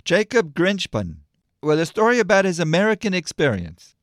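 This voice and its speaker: A man's voice: high voice, huge pitch swings, normal speed, a bit bright, no room sound, studio quality. A man hosting a storytelling show, introducing his guest and the story in a friendly, announcer-like way. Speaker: radio show host.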